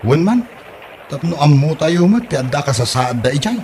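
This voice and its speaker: A man's voice: on the high side, sing-song, normal speed, a little mellow, a little hiss or hum. A man playing a grumbling but easygoing husband in an amateur comedy audio drama, with a casual, grunting delivery.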